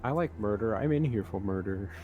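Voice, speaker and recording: A man's voice: fairly low pitch, expressive, a little fast, mellow, soft background music, natural voice. A man playing a friendly homeless murderer in prison, speaking bluntly and repetitively in improvised roleplay. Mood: cheerfully blunt.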